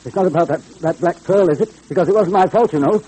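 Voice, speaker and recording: An actor playing a nervous, cowardly crook who stammers anxiously in an old-time radio drama. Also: male voice, sits high, fast talker, mellow, husky. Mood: uneasy. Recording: noisy old radio recording, hum.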